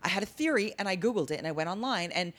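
A woman with a low, deep-timbred voice, telling stories in a casual interview and acting out the dialogue. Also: racing. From Las Vegas. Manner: animated, acts out quoted dialogue. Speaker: comedian guest.

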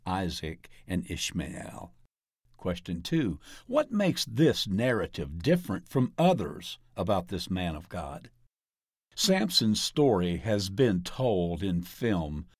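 Slow, expressive low-voiced man reading aloud. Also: no echo, clean studio sound. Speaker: reader of articles. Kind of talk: scripted narration, reading an interview aloud.

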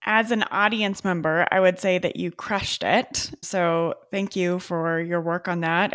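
A woman's voice: on the low side, a bit bright. A woman interviewing a guest, asking prepared questions in an affirming way.

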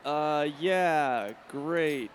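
A man's voice: a little high, lively intonation, drawn-out words, slight background noise. A man playing a vain, self-pitying teenage boy superhero in a comedy sketch, talking in a pompous, oblivious way. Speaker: Bruce Wayne Jr.

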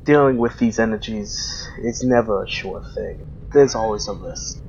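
A man's voice: fairly low pitch, moderate pace, a little mellow, close to an everyday voice. Man playing a solemn keeper of old ways. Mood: solemn, guarded. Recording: dull, noisy hobby microphone.

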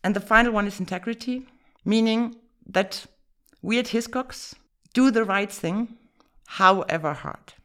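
A woman whose first language is German, answering podcast interview questions in English in a measured, businesslike way with frequent fillers. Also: mid-range voice, clear, resonant tone. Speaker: insurance executive guest.

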